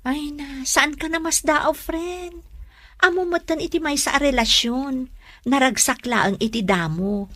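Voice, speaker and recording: A concerned young woman friend in a radio drama, played by an actress. She is chatty and frank, advising in a fairly high voice, recorded dry and close. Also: unhurried, a bit bright, no room sound.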